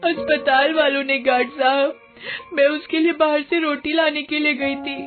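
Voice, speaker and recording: Lady doing a tearful ghost mom, high pleading voice, horror story, thin phone-like sound. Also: little pitch movement, normal speed, lightly acted. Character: grieving, pleading mother ghost who turns vengeful. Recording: tinny band-limited sound with music.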